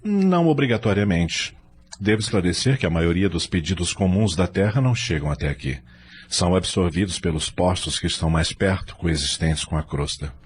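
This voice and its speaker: A man playing an earnest, patient spirit records clerk in an audio novel, explaining in a measured, didactic way. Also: deep voice, lively intonation, a little hiss or hum. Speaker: guide at a spirit-world records centre.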